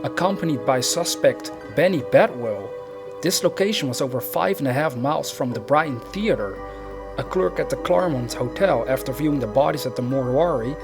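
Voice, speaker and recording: Man narrating true crime over music. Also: medium pitch. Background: background music.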